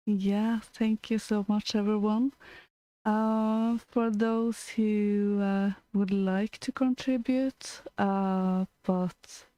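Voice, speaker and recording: Slow-talking Norwegian woman co-host, mellow. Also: medium pitch, fairly even intonation, clear, resonant tone, no room sound, poor audio. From Norway. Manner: hesitant, lots of fillers and giggles. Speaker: co-host of a storytelling stream. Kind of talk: casual chat.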